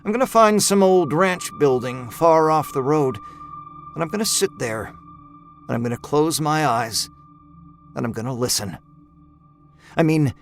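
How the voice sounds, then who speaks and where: Brooding male horror-story narrator. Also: medium pitch.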